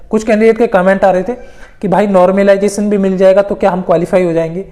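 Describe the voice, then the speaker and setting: Casting: presenter, mid-pitched voice, informal exam-update explainer, emphatic, repeats points, mixes in English terms. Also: mellow. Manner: repetitive, emphatic explaining with English loanwords mixed in.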